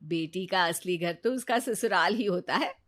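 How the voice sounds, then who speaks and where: A woman voicing a practical, traditional middle-aged mother, matter-of-fact and gently chiding, in an animated story. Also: fairly low pitch, moderate pace, lightly acted. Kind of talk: animated moral-story dialogue.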